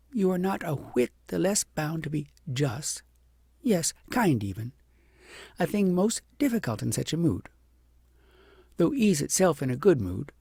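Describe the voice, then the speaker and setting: A grave, loving wise woman , voiced by a man teaches patiently in a storybook. The voice is lifted high, with a soft mellow tone and some husky grain. The delivery is measured and earnest. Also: dry recording, studio quality, exaggerated voice. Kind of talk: audiobook character voice.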